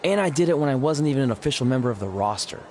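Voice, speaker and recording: A man's voice: mid-range voice, lively intonation, racing, darkish tone, lightly acted. A man acting as a cocky, paranoid, goofy wrestling champion, boastful and comically secretive in a scripted drama. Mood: boastful, defensive. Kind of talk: scripted comedic drama dialogue. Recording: faintly noisy location ambience.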